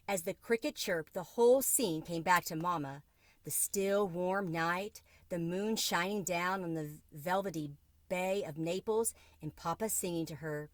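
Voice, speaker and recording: A woman's voice: fairly low pitch, measured pace, no echo. A woman reading a children's book aloud as a storyteller.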